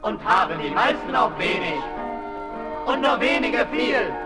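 An actor in a 1960s radio play voicing an asylum inmate half-singing ironic loyal praise in rhyme. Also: male voice, high voice, lively intonation, a little slow. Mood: mock-devout. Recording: echoey 1960s radio recording, loud music.

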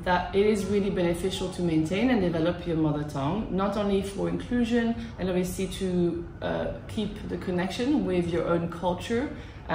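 A woman in her thirties, a school language program coordinator, answering interview questions in a measured way with many fillers, seated in a classroom. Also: fairly low pitch, dark tone, a little hiss or hum. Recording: echoey classroom with music behind.

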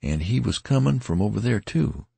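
A man reading an anxious, grieving young soldier in an audiobook, with fretful outbursts in a creaky, husky voice. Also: lowish voice, very animated, moderate pace, mellow, no echo, voice pushed up, full character voice. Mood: alarmed.

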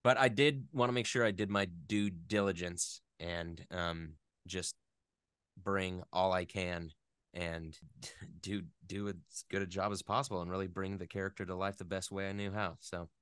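Smooth, low-voiced man in his thirties. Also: steady tone, normal speed, a bit bright, no echo, studio quality. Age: 30s. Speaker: interview guest, voice actor. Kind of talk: casual interview chat.

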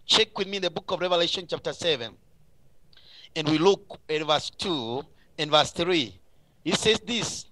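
High-pitched, bright-voiced man preaching. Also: dry recording, low-quality recording. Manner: emphatic, repetitive exhortation. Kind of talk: live radio sermon.

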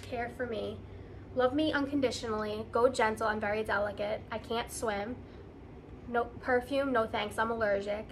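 Female vlogger, excited and conversational, slightly high pitch, casual unboxing delivery, faint noise on the recording. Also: moderate pace. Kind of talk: casual unboxing chat to camera.

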